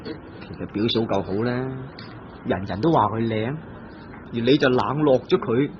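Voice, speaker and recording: An actor with a Hong Kong Cantonese accent playing a carefree young unmarried clerk in an old radio drama, teasing and then gently scolding his cousin. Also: medium pitch, normal speed, lightly acted. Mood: earnest. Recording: dull old radio broadcast with faint hiss.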